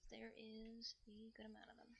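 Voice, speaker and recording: A woman's voice: a little high, completely flat delivery, normal speed, fairly bright tone. A woman chatting casually and thinking aloud as she rambles through household chores. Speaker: streamer hosting a live chores stream. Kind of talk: casual rambling chat while doing chores. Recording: clearly noisy room with household ambience.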